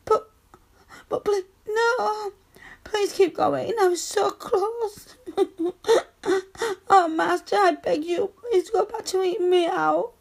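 A woman playing a submissive, pleading female lover in an erotic audio roleplay, with breathy, moaning delivery. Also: very high voice, slow, pauses often, a bit bright, exaggerated voice. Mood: desperate begging.

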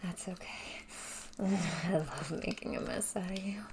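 A woman speaking straight to the listener in a soft, breathy, often whispered voice, dominant and teasing in an intimate audio roleplay. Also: on the low side, normal speed, noisy background.

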